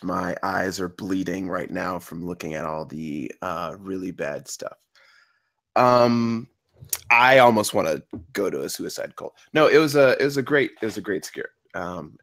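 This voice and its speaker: A man's voice: low-pitched, a bit bright. A man giving casual, joking feedback as a panelist in an informal discussion.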